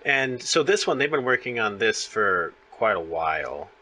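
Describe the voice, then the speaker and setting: A man's voice: on the low side, sing-song, normal speed, bright, forceful tone. A man hosting a conversational interview, explaining things in a rambling way. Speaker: interviewer, host.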